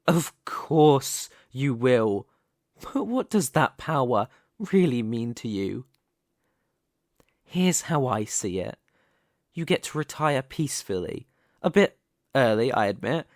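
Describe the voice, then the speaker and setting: Man playing a slow-talking, possessive mafia boss. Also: mid-range voice, dry recording, clean studio sound. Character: commanding, possessive young male mafia boss, devoted lover. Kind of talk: ASMR roleplay acting.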